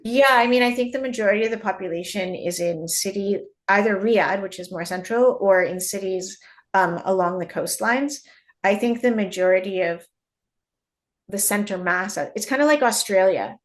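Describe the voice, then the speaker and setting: Woman guest, Canadian-born, medium pitch, talks things through with many restarts. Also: moderate pace. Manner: frequent restarts and repeated words, thinking aloud. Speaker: guest, mining industry expert. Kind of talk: conversational interview.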